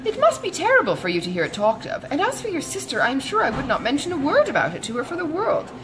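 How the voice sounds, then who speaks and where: A woman's voice: high voice, huge pitch swings, fast talker, noisy background, pitched above the performer's own voice. A woman voicing a chatty, good-natured older matron in a storybook, gushing and rambling with many exclamations. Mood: emphatic.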